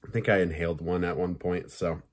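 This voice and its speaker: A man hosting a podcast, reviewing films and shows in a loose, chatty way with many fillers and some swearing. His voice sounds a little raspy and sore. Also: sits low, big pitch swings, normal speed. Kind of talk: casual review chat.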